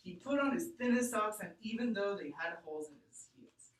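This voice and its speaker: A woman teacher reading aloud to a class and explaining deliberately and expressively, thinking out loud. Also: medium pitch, expressive. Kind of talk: read-aloud lesson to a class. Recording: echoey room, distant mic.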